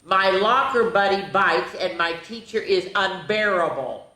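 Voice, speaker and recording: A woman's voice: mid-range voice, a little slow, pushed and bright, pitched above the performer's own voice. Woman reading as worried, grumbling young schoolboy, roomy echo. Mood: anxious, glum. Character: young schoolboy diarist, worried and grumbling among animal classmates. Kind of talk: expressive children's storybook read-aloud.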